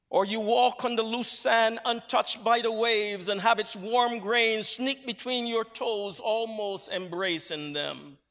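A man from Barbados preaching a sermon, with measured, reflective storytelling. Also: very high voice, fairly bright tone. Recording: long hall reverb.

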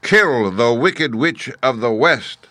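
A man voicing a great, stern wizard who is a giant talking head in a storybook, speaking slowly, emphatically and with command. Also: medium pitch, expressive, bright, forceful tone, no room sound, voice pushed up. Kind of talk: storybook character voice.

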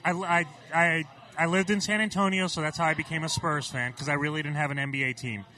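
Excitable male co-host from Puerto Rico, bright tone and slightly raised pitch, trips over his words. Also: quick pace. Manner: excitable, stammering restarts. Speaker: podcast co-host. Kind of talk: casual chat.

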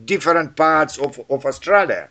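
Hoarse, hesitant man with a bright voice. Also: on the high side, animated, moderate pace. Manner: hesitant, with many fillers and restarts, as a non-native speaker. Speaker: conversation partner. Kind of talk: casual chat.

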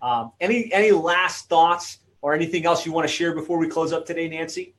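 A man's voice: fairly high pitch, brisk, fairly bright tone. A man hosting a live webinar who reads out viewers' comments and wraps up the session in a friendly way. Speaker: webinar host.

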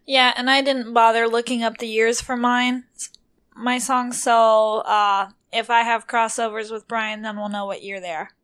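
A woman co-hosting a podcast in casual chat, often chiming in with brief, quick agreement. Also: on the high side, fairly even intonation, fairly bright tone. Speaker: podcast co-host.

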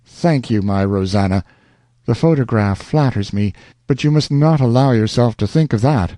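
An earnest young lover speaks in flowery, fervent phrases, as a man voices him. The voice is dark and mellow, recorded dry and close. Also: medium pitch, moderate pace, no room sound, lightly acted. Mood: ardent. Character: ardent young male lover.

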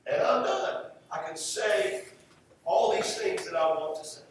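An American man preaching a sermon in an emphatic style, with rhetorical repetition and deliberate pauses. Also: fairly high pitch, expressive. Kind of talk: sermon to a congregation. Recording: echoey, distant mic, faint background noise.

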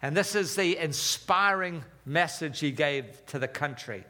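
Older South African-born preacher, bright voice. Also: male voice, fairly high pitch, lively intonation. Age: 70s. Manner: conversational, with fillers, slips and pauses. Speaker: pastor. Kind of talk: sermon.

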